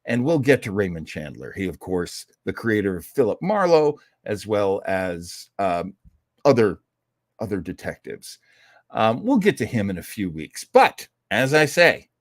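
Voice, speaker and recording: A man's voice: on the low side, sing-song, a little slow, dry recording, studio quality. A man hosting an online old-time radio show, chatting casually and ramblingly with theatrical, jokey asides. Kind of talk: casual rambling livestream chat.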